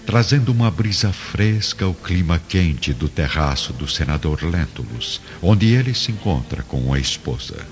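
A man with a Brazilian accent narrating a scripted radio drama in a solemn, deliberate storytelling manner. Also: low voice, sing-song. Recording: dull lo-fi radio drama with music.

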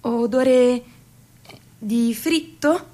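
High slow woman playing a young girl daydreaming about her startup, dreamy and self-mocking, radio play. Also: mellow. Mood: excited. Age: young adult. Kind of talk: theatrical radio monologue.

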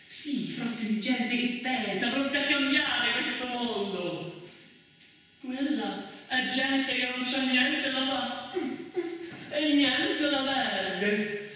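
A man's voice: very high-pitched, drawn-out words, pushed and bright, exaggerated voice. A man on stage playing a restless, idealistic student dreaming of revolt, hesitant and repeating himself with passion. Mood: fervent. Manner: hesitant, repetitive, impassioned. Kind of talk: one-man theatre monologue acting. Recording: echoey hall from far off, faint noise.

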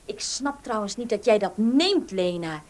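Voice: medium pitch, expressive, a little slow, lightly acted. An actor playing a cheeky, grumbling young shop assistant in a radio drama, irreverent in manner.

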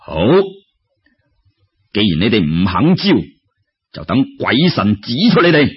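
A man voicing a stern, shrewd scholar-official in a Cantonese-accented story, solemn, deliberate and commanding. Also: fairly low pitch, sing-song, slightly rough, lo-fi sound, voice pushed down, heavily acted.

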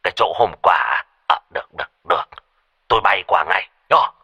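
Man as a gruff, low, blustering old sorcerer. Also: lively intonation, a little fast, bright, forceful tone, no echo, highs cut off, full character voice. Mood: brisk, urgent. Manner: blustering, curt. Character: brash, foul-mouthed older male ghost-catching sorcerer.

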